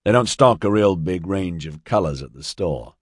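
A man's voice: sits low, expressive, moderate pace, husky, dry recording, studio quality. A man voicing a laid-back, slangy, wry male adventurer in an audiobook. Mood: wry, relieved. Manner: casual, slangy.

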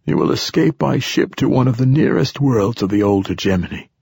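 Man acting an ancient raspy old poet, voice raised. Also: medium pitch, animated, brisk, darkish tone, no echo, voice pushed up, heavily acted. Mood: prophetic. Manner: tired, declamatory. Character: ancient, bedridden old poet, insistent prophetic schemer.

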